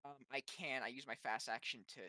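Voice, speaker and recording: A man's voice: mid-range voice, fairly even intonation, a little fast, bright, forceful tone, dry recording, studio quality. A man chatting casually as a player in a tabletop game, tentatively checking the rules.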